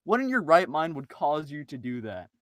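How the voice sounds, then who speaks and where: A man's voice: on the high side, big pitch swings, normal speed. A man chatting casually on a group podcast, telling anecdotes in slangy, informal language. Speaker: podcast member. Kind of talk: casual group chat, storytelling.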